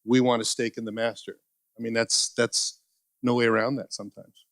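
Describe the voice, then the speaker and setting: A man's voice: medium pitch, little pitch movement, moderate pace, a bit bright, no room sound, clean studio sound. A man on a conference panel explaining agreements in a methodical way. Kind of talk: panel discussion.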